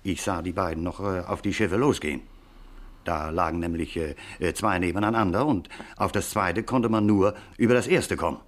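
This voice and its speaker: An actor playing an eager, hesitant taxi driver witness in a 1950s radio crime drama, chatty and hemming. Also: male voice, low voice. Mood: halting, recalling. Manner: halting, hemming, chatty, small nervous chuckles.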